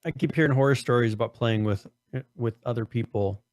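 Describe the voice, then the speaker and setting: A man co-hosting a casual gaming podcast, losing his voice, his throat strained and cracking on high notes, rambling with many restarts. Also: fairly quick, a little mellow, dry recording. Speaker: podcast co-host.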